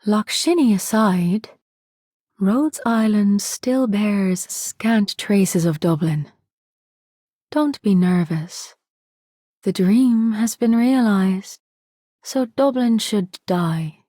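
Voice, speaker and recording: A woman's voice: medium pitch, lively intonation, very slow, dark tone, dry recording, studio quality. A woman voicing a grave, commanding, regal leader in a game. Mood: solemn, resolute. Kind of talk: game character voice acting.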